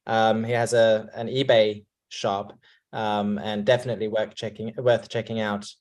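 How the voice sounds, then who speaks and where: A man's voice: on the low side, level tone, moderate pace, a little mellow. A man from England, a company guest on a video call, speaking casually and hesitantly with many restarts.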